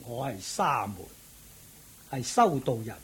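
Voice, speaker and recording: A man acting a solemn, serene old wandering monk in a Cantonese radio drama, with a husky, breathy voice and measured delivery. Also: mid-range voice, very animated, heavily acted.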